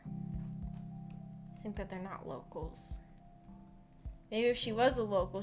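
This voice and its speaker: A woman hosting a casual livestream, reading out comments and answering them in a hesitant, rambling way that often trails off. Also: mid-range voice. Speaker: streamer hosting a visual novel book club. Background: background music.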